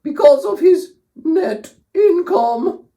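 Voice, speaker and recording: A woman's voice: very high voice, animated, slow, warm tone, a little hiss or hum, exaggerated voice. A woman voicing a good-natured, giggly girl puppet in children's puppet show banter. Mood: amused.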